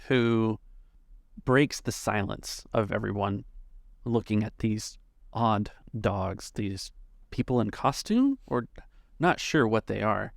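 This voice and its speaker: A man working as game master, narrating a tabletop game off the cuff in measured, halting phrases. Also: on the low side, gravelly, no room sound, clean studio sound.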